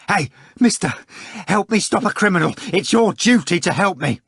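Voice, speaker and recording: A man voicing an agitated young poet who shouts in a menacing, furious way. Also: high-pitched, lively intonation, normal speed, vocal fry, breathy edge, no room sound, clean studio sound, voice pushed up, exaggerated voice. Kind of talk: audiobook character voice.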